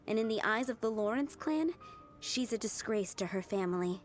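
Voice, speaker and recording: A kind young woman cook, voiced by an actress speaks with gentle sincerity. Her voice sits a little high. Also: lively intonation, normal speed, over music, natural voice. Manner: gentle, earnest. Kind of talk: video game voice acting.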